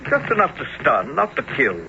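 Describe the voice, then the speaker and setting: An actor in a radio drama playing a brooding, vengeful submarine captain, formal and commanding in manner. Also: male voice, a little high, huge pitch swings, fairly quick. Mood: calm, assured. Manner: imperious, formal. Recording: noisy, muffled old radio broadcast.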